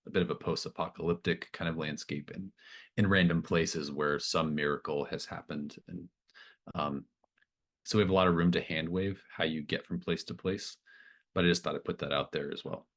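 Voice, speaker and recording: A man's voice: a bass voice, fairly even intonation, moderate pace, a bit bright. A man running a tabletop roleplaying game as game master, talking casually and explaining things in an encouraging way.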